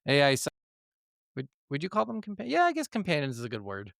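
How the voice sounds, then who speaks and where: A man's voice: on the high side, wildly swooping pitch, quick pace, gravelly, a bit bright, no room sound, clean studio sound. A man co-hosting a casual gaming podcast, talking informally and swearing freely. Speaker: podcast co-host.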